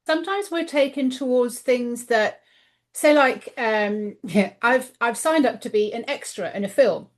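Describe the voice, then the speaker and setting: A woman's voice: a little high, unhurried. A middle-aged woman, a guest author in a casual podcast interview, talking earnestly and voicing her own inner thoughts aloud.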